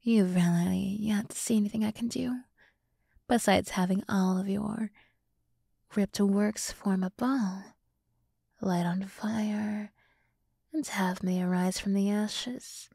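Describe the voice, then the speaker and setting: A woman voicing a flattering, smug demon woman devoted to her summoner in an ASMR roleplay, soft and breathy, often dropping to a whisper. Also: mid-range voice, slow pace, pauses often, dry recording, studio quality, full character voice. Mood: coaxing, scheming. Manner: seductive, boastful, theatrical.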